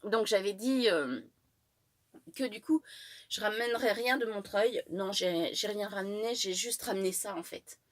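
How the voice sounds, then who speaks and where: A woman's voice: mid-range voice, unhurried. A woman with a head cold, chatting through a book haul, hesitant and full of fillers and restarts. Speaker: book vlogger.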